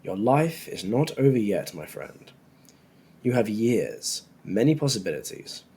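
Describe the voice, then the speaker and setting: A man playing a cursed tiger-man scholar in an audiobook, dry and world-weary, with formal, measured wording and a husky voice. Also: lowish voice, sing-song, warm tone, exaggerated voice. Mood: grave, measured. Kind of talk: audiobook character dialogue.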